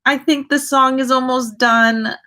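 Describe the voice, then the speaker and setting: A woman voicing a bewildered, uneasy schoolboy with a halting, puzzled way of talking, in a storybook reading. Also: high voice, fairly even intonation, pushed and bright, pitched above the performer's own voice. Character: bewildered, horrified schoolboy who hears phantom singing.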